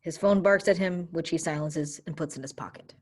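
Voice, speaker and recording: Low-voiced woman reading stage directions. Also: a little fast, a little mellow, a little hiss or hum. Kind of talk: scripted narration of a play.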